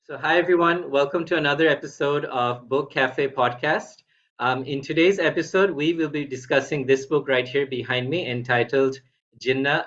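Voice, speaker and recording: A man's voice: mid-range voice, fairly bright tone, muffled recording. A man hosting a book podcast, asking polite questions with many hesitant fillers. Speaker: podcast host. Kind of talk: book interview.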